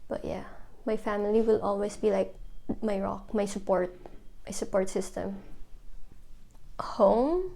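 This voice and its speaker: A young woman from the Philippines speaking softly and hesitantly in a reflective documentary interview, with many fillers. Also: mid-range voice, measured pace, vocal fry, pauses often.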